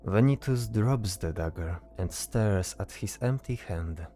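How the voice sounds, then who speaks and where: A man's voice: on the low side, animated, a little slow, mellow, faint music behind. A man giving scripted fantasy narration as a storyteller. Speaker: narrator, game master.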